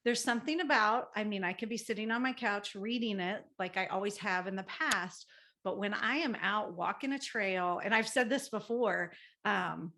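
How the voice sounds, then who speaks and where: A woman podcast host talks with eager energy, backing up her guest and sharing her own habits. Her voice sits at a middle pitch for a woman. She chats in a loose, casual way with fillers. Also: moderate pace, bright, forceful tone. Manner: eager, affirming, chimes in with agreement. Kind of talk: conversational podcast interview.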